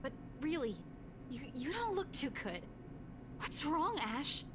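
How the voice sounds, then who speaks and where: A woman's voice: high voice, sing-song, slow, background noise, heavily acted. An actress playing an anxious young woman in a horror video game, urgent and concerned in manner.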